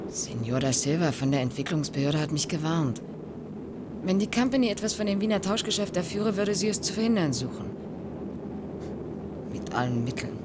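A woman's voice: fairly low pitch, expressive, normal speed, long pauses, very noisy, natural voice. An actress playing a proud, wary young businesswoman in a German radio play, cool and pointed in her delivery. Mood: cool, guarded. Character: proud, wary young businesswoman seeking independence from rich father.

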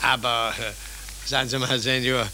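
Very bright, fussy polite policeman. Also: mid-range voice, little pitch movement, normal speed. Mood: puzzled, amused. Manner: courteous, dithering, eager. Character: polite, fussy, good-natured Spanish harbour policeman. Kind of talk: 1940s German radio drama acting. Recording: hissy 1940s radio recording.

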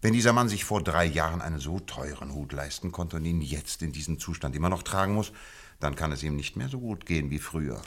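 Shrewd, lecturing detective in a radio play, very deep voice, teasing. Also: male voice, animated, brisk, exaggerated voice. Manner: teasing, lecturing, theatrical emphasis. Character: shrewd lecturing male detective, middle-aged. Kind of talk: 1950s radio drama acting.

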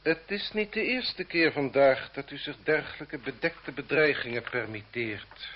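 An actor playing a cruel, haughty French marquis in a radio drama, speaking in a pompous, formal, condescending way. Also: male voice, mid-range voice, a little slow, lightly acted. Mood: mocking. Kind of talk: Dutch radio drama acting. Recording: muffled old radio recording with background noise.

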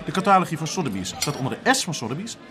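An actor playing an excitable, sarcastic young man in a naturalistic Dutch radio drama, speaking emphatically. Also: a little high, rapid-fire, a crowd in the background. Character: excitable, sarcastic young man, griping about his job.

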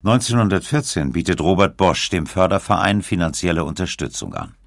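A man narrating a scripted documentary in a measured, factual way. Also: low voice, very animated, creaky, no echo, studio quality.

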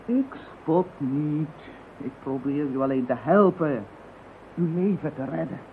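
An actress playing a calm, earnest woman who practices magic and tries to persuade a frightened man. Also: low voice, a little slow, slight background noise. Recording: tinny lo-fi old radio recording.

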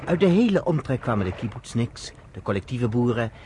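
An actor playing a storyteller, narrating a radio drama in scripted prose. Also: male voice, mid-range voice, very animated, a little fast, darkish tone, a little hiss or hum, close to an everyday voice. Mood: measured narration. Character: male storyteller recounting history to a woman listener.

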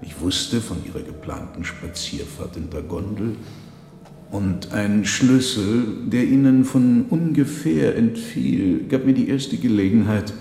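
Captive conjurer, radio drama, resigned, candid, deferential, reverberant room, faint noise. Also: male voice, on the low side, unhurried, warm tone. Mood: candid, explaining. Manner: formal, deferential. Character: defeated male conjurer prisoner, candid and resigned. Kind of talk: German radio drama acting. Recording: echoey hall, audible background noise.

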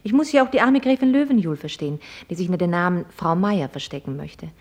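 An actress playing a proud, mockingly polite young American heiress in a German radio play, speaking in a formal, ironic and probing way. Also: female voice, fairly low pitch, lively intonation, fast, a little mellow. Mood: earnest, challenging. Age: young adult.

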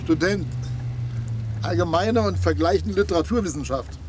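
An actor playing a whimsical middle-aged Berlin-born taxi driver who studies literature, calm and gently insistent, in a radio play with car noise behind. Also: male voice, sits high, moderate pace, lightly acted.

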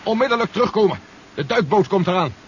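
An actor playing an urgent, commanding general who gives clipped orders in a 1970s Dutch sci-fi radio play. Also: male voice, sits high, expressive, fast talker. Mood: grave, urgent. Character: urgent, commanding male general leading a tense mission. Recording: dull, hissy 1970s radio recording.

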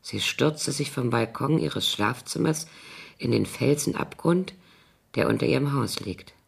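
Casting: a scheming, boozy old nanny, gravelly and raspy, theatrical and brooding, for a radio thriller. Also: female voice, rumbling low, lively intonation, exaggerated voice. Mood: brooding, conspiratorial. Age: older. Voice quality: husky, creaky. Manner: brooding, halting, theatrical delivery dropping into whispers. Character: tipsy, scheming old former nanny writing a confession. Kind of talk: radio crime drama acting.

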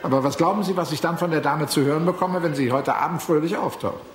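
A weary tour guide played by a man confides and grumbles in a radio drama. His voice is fairly high, in a roomy space with music. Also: fast, natural voice. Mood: grumbling. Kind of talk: German radio drama acting.

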